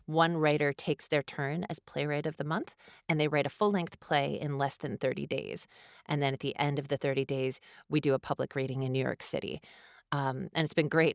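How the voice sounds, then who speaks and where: Low-voiced woman, dry close sound. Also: creaky, no room sound. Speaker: playwright, interview guest. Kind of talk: casual interview chat.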